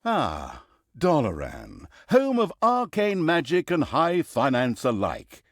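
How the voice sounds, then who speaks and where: An actor playing a sardonic, theatrical storyteller, narrating a comic fantasy video game in a wry, mock-grand way. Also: male voice, on the high side, huge pitch swings, takes it slowly, no echo, studio quality, heavily acted.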